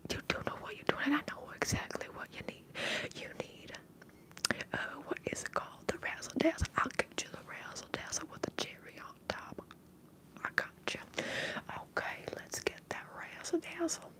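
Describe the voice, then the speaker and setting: A woman from the upper Midwest putting on a Southern American accent, talking softly and haltingly as she hosts an ASMR bartender roleplay livestream. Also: very high-pitched. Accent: American, affected Southern. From upper Midwest, United States.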